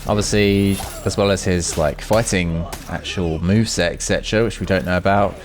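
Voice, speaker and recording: A man's voice: low-pitched, fairly even intonation, moderate pace, soft background music. A man hosting a gaming video, giving casual, unscripted commentary and musing aloud as he goes. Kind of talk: casual off-the-cuff gameplay commentary.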